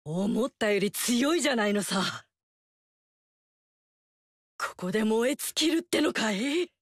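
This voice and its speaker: A woman's voice: a little high, animated, unhurried, dry recording, clean studio sound. An actress playing a fiery, confident warrior queen in a video game, with brash, teasing, tomboyish lines.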